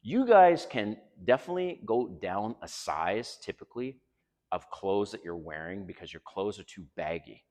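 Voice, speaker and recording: A man's voice: on the low side, animated, moderate pace, darkish tone, big-room sound. An older man from Canada with a Canadian accent, giving blunt, frank dating advice straight to his viewers in a casual monologue.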